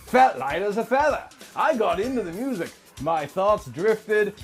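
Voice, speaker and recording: A man in his thirties giving a mock-earnest, melodramatic reading of a story, leaning on words for emphasis. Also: high voice. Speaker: host of a comedy reading series. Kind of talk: theatrical dramatic reading of fan fiction.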